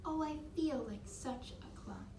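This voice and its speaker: Woman voicing an earnest young giraffe, high and roomy. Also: lively intonation, a little slow. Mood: dejected. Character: clumsy, shy young male giraffe, sad then joyful. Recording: roomy, distant mic, faint noise.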